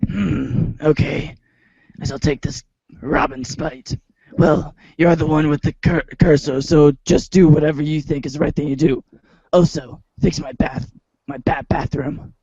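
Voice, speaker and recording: A man voicing a gruff, self-serious superhero with a gravelly, husky rasp in a pompous, melodramatic parody style. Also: on the high side, measured pace, warm tone, no echo, highs cut off, heavily acted. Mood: exasperated. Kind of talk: read-along storybook parody acting.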